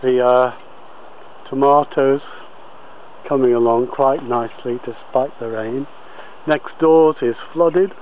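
A man with a medium-pitched voice talks slowly through an informal garden tour, often halting mid-sentence as he goes. He is recorded outdoors on a handheld camera, with dull, lo-fi sound and faint background noise. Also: fairly even intonation. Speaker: allotment gardener filming a tour. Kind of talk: informal video tour commentary. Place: outdoors on an allotment. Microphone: handheld camera held by the speaker.

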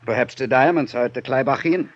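Man as grave European inspector, medium pitch, formal, deliberate, muffled vintage radio sound. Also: natural voice. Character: measured, serious European male police inspector. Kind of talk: 1950s radio drama acting. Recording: muffled old radio recording.

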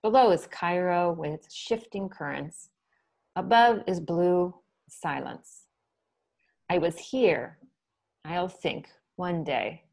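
Low-voiced woman reading poems softly. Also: creaky. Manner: soft, measured reading with brief asides. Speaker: poet reading her work. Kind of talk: poetry reading on a video call. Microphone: video call.

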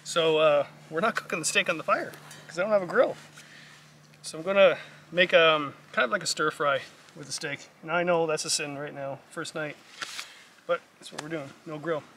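Middle-aged man, gravelly and somewhat high, casual self-mocking camp vlogger, outdoor camera mic. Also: moderate pace, sharp tone, recorded from a distance, a little hiss or hum. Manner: chatty, self-mocking, stumbles over words. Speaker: vlogger on a canoe trip. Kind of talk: casual camping vlog chat. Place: lakeside campsite outdoors.

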